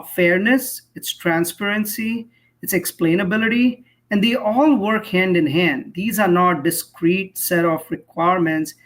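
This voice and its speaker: A man speaking as an expert interview guest, explaining in an articulate, measured way and posing rhetorical questions. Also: sits high. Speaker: cybersecurity professional, interview guest.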